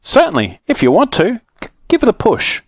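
A man voicing an excitable, muddled old man who mishears and gets easily vexed, in a children's story. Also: high-pitched, normal speed, slightly rough, no room sound, clean studio sound, pitched above the performer's own voice, heavily acted. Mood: befuddled.

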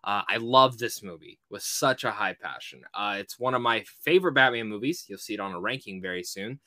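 A man's voice: lowish voice, animated, fairly quick, sharp tone, no room sound. A man hosting a casual film review podcast, speaking informally and emphatically, often repeating phrases for stress.

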